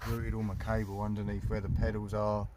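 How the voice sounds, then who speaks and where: A man's voice: fairly low pitch, flat delivery, brisk, noisy background. A British man giving a casual hands-on walkthrough, informal and colloquial, thinking aloud as he goes. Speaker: hobbyist vlogger. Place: in and around a parked car.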